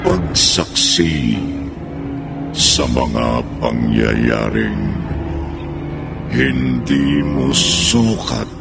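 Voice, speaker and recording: A man narrating a horror radio drama in an ominous, drawn-out way, announcing the next episode and the cast. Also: rumbling low, sing-song, creaky. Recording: echoey radio studio with long reverb and music.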